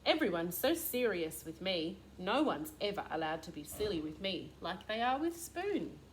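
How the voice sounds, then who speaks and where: A woman's voice: on the low side, very animated, normal speed, slight background noise, rough recording. A woman voicing a spoon's admiring, envious cutlery friend in a children's storybook, wistful and emphatic.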